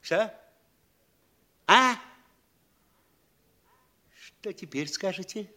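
An actor playing a pompous, bullying provincial mayor in a Russian comedy audio play, scolding theatrically between sly quiet and bellowing. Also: male voice, sits high, lively intonation, extremely slow, full character voice. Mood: furious, scornful.

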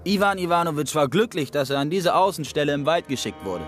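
An actor playing a hungry, sombre labour-camp prisoner who narrates in a literary radio play. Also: male voice, fairly high pitch, animated, fast talker, lightly acted. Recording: faint music behind the voice. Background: music.

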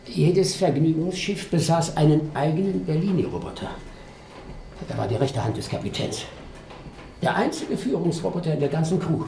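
A man's voice: fairly high pitch, animated, normal speed, creaky, mellow, echoey room, a little hiss or hum. An actor in a German radio drama playing a talkative, wistful old male robot, a courteous, rambling ship's officer. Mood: explaining, courteous.

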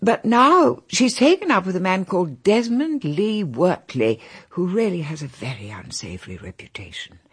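A woman's voice: lowish voice, very animated, normal speed, hardly any pauses, husky, exaggerated voice. An actress playing a genteel, confiding elderly English grandmother and hostess who rambles on in a radio drama. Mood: confiding, troubled. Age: older.

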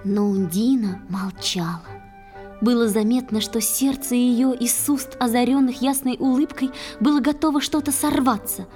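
A woman performing in a children's audio play, reciting verse lyrically and expressively with drawn-out phrases. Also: a little high, darkish tone, soft background music, natural voice.

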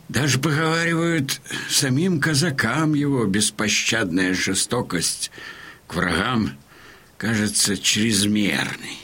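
An old Cossack in an audio drama, husky and slow of speech. He passes on war news to his crony with folksy emphasis, taking his time over each phrase. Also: on the low side, a bit bright, exaggerated voice. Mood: grave, admiring. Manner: folksy, emphatic, unhurried storytelling. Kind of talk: Russian audio drama acting.

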